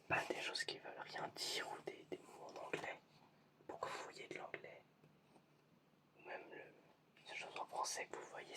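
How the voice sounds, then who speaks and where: An ASMR creator whispering through a playful eye-test roleplay, asking patient, mock-puzzled questions. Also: far from the mic. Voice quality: whispered, unvoiced.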